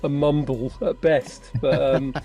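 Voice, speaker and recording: A man's voice: mid-range voice, steady tone, moderate pace, gravelly, mellow, soft background music. A man co-hosting a casual podcast chat over a video call, wry and rambling. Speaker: podcast co-host.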